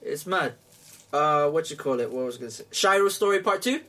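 A man's voice: fairly high pitch, expressive, a little fast, a bit bright, distant mic. A young adult man with a London accent hosting a casual talk show, telling stories in slangy, matey banter. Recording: off-mic, background noise and clicks.

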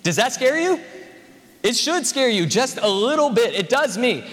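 A man's voice: very high voice, big pitch swings, moderate pace, a bit bright. A man in his twenties preaching a conversational, joking sermon to a congregation. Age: 20s. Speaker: pastor. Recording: reverberant hall.

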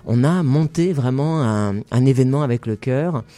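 A man's voice: medium pitch, normal speed, warm tone. A middle-aged man from France, a festival founder being interviewed on radio, talking with many hesitations and restarts. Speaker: festival founder and director, guest. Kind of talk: radio interview, casual explanation.

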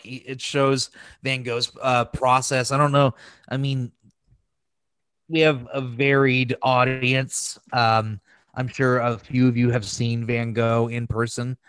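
A man's voice: mid-range voice, little pitch movement, a little slow, fairly bright tone, clean tone, dry recording. A man hosting a podcast, chatting casually about films in a crass, emphatic and gushing way. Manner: crass, freely swearing. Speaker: podcast host. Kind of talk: casual film review chat.

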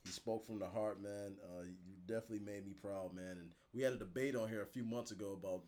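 Fast-talking low-voiced young man. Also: fairly even intonation, a little mellow. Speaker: podcast co-host. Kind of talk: casual podcast chat.

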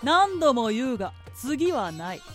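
A woman's voice: high voice, very animated, measured pace, faint music behind. A woman playing a stern, authoritative merchant and judge in a radio drama, formal, imperious and composed. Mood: firm.

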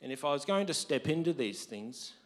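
A married man preaching a conversational sermon, his voice deep from lack of sleep, rising to emphatic quotes. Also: sing-song, fairly quick. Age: adult, married. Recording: long hall reverb.

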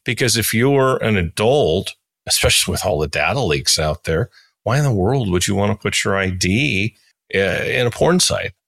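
A man in his sixties with a low voice, hosting an interview podcast. He talks conversationally and states his views emphatically, in a close, dry recording. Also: expressive, moderate pace, gravelly, a bit bright, no echo. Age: 60s.

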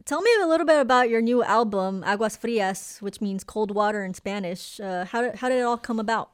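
A woman's voice: medium pitch, brisk, smooth. Woman radio host asking questions. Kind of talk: radio interview. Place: radio studio.

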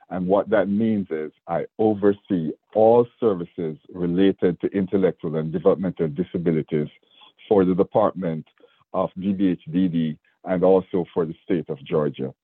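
Deep-voiced Jamaican man on radio. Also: husky, no echo. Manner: earnest, measured, with restarts. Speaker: state division director. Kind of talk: radio interview. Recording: dry, phone-like radio line.

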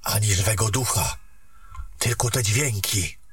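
A man playing a young, uneasy and curious warrior companion in a fantasy audio drama, expressive and questioning. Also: fairly low pitch, measured pace, a little hiss or hum. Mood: uneasy, alert. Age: young adult.

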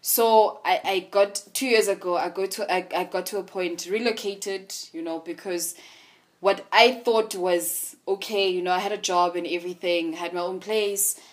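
A woman's voice: on the low side, steady tone, moderate pace. Woman, candid and reflective. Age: 20s. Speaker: podcast host. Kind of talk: casual conversation.

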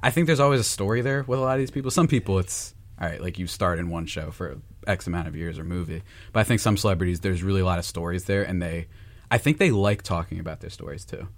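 A man's voice: low voice, fast talker, dry recording. A young man chatting on the radio, rambling and self-deprecating with lots of fillers. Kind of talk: casual radio chat.

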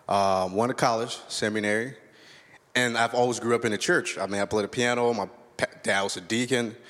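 A man from Liberia sharing his testimony on a church stage in a low, grounded voice. He speaks earnestly and candidly, reflecting as he goes. A stage mic carries him into a big hall with a long reverb. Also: fairly bright tone. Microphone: stage microphone.

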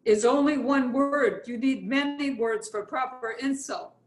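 An actress playing a dour, deadpan Russian woman with a Russian accent in a stage-play reading. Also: sits high, measured pace, reverberant. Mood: curt. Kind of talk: readers theatre stage-play acting.

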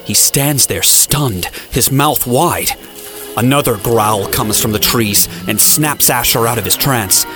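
A man's voice: mid-range voice, slow. A man reading scripted, dramatic story narration for an audio drama. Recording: music playing behind the voice.

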